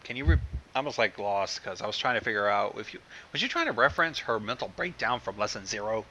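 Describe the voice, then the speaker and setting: A man chatting casually on a livestream in an excitable, loud-mouthed manner. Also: on the low side, quick pace, muffled recording.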